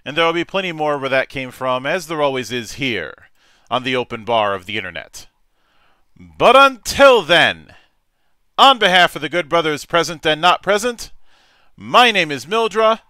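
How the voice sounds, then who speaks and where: Bright, crisp male show host. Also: on the high side, big pitch swings, moderate pace, dry recording, studio quality. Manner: theatrical, with rising emphasis on catchphrases. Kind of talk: casual show host sign-off.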